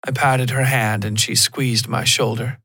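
A man's voice: medium pitch, level tone, a little slow, bright, forceful tone, husky, dry recording. A man reading a scripted first-person horror story as the narrator of a fiction podcast.

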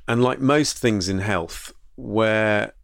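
A man's voice: lowish voice, takes it slowly, creaky, no room sound, clean studio sound. A man hosting a solo podcast as a doctor, talking through a health topic in a conversational, thoughtful way with fillers and restarts. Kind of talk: solo educational podcast talk.

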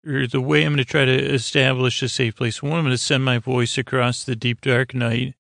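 A man hosting a sleep podcast in a creaky voice, meandering gently and reassuringly, often stammering, repeating himself and trailing off mid-thought. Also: medium pitch, fairly even intonation, a little fast, no echo, studio quality. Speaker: host of a bedtime sleep podcast. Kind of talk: rambling, meandering bedtime monologue.

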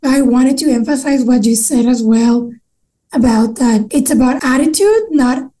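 High, mellow-voiced Latin American woman. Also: measured pace. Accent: Latin American Spanish. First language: Spanish. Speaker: podcast host. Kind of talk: casual interview.

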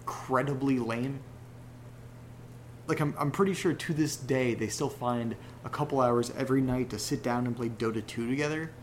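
An actor playing a rattled young man who rambles haltingly through a personal audio diary in a self-deprecating way. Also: medium pitch, slight background noise, natural voice. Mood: wistful, wry. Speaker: narrator character of a horror audio drama. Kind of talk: confessional audio diary monologue, conversational.

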